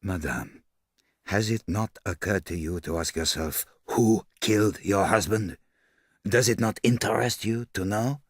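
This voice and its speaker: Courteous foreign sleuth, performed by a male narrator: French accent, low, precise and emphatic, very dry storybook recording. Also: lively intonation, husky, no room sound, heavily acted. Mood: insistent. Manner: formal, deliberate, emphatic.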